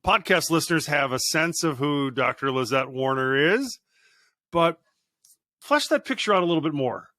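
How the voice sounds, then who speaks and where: A man's voice: a little high, animated, normal speed, pushed and bright, no room sound, clean studio sound. A man acting as interviewer on a podcast who gives praise and stammers at the start of his sentences. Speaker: guest host, leadership coach.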